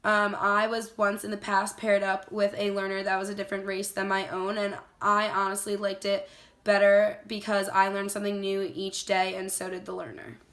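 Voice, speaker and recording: A young woman speaks in a composed, measured way, keeping her tone very even throughout. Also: mid-range voice. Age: young adult. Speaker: student interviewee. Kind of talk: interview answer.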